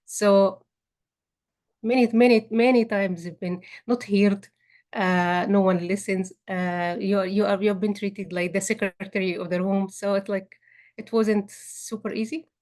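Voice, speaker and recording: Lady in her 40s giving a meetup talk, stumbles and repeats words a lot. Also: mid-range voice. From Egypt. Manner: frequent restarts and repeated words, warm laughing asides. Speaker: meetup speaker. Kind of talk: personal career story talk.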